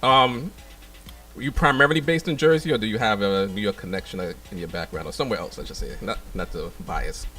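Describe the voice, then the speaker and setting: A man's voice: fairly low pitch, a little fast, sharp tone, recorded from a distance, soft background music. A middle-aged man hosting a radio show, interviewing a guest in a laid-back way with many fillers. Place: radio studio.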